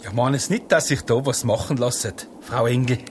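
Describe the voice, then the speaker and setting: An actor playing a dismissive, blunt shepherd farmer with a Tyrolean Austrian accent in a satirical radio play. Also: male voice, medium pitch, normal speed, a little hiss or hum, lightly acted. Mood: casual, bargaining. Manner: dry, blunt, colloquial.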